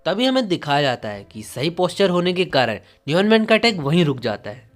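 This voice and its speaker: A man delivers a dramatic scripted story recap. His voice sits a little high and moves with very lively intonation, over soft music. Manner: animated storytelling, voicing quoted dialogue. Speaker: manga recap narrator. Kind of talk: dramatic scripted story narration in Hindi with some English words.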